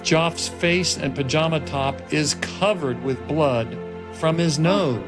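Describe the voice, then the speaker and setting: Male narrator, slightly high pitch, dramatic screenplay reading, stage directions, prominent background music. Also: slow. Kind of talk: dramatic scripted narration at a table read. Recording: music laid clearly behind the voice. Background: music and sound effects.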